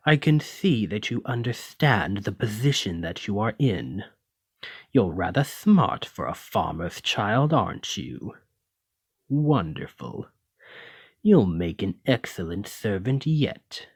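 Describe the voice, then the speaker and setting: A haughty serpent nobleman, acted by a man for an ASMR roleplay, speaks at a slow, lordly drawl in a fairly low voice. His pompous, refined phrasing rises and falls widely as he condescends to and teases his servant. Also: darkish tone, slightly rough, dry recording, studio quality, heavily acted. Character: haughty, teasing male lamia noble, half-serpent employer. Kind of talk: ASMR roleplay, scripted monologue to listener.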